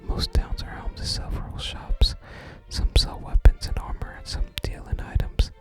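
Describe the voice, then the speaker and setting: Whispering ASMR gamer over music. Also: normal speed. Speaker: video game streamer. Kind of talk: whispered ASMR gameplay commentary and reading of game text.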